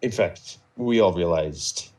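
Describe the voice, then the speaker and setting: Very deep-voiced man telling a story slowly. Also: darkish tone. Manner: halting, measured, digressive, with pauses. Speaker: storyteller recapping a game server roleplay. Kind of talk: improvised story recap on a live stream.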